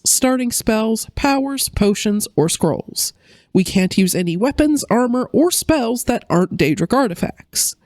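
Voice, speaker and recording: A woman giving a steady, explanatory gameplay narration as a gaming video creator, her voice a little off while she recovers from a cold. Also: medium pitch, dry recording, studio quality. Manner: steady, explanatory rule rundown. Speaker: gaming YouTuber.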